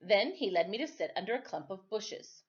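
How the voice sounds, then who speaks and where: A woman reading a story aloud for children as a storytime teacher, expressive and informal. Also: medium pitch, moderate pace, lo-fi sound.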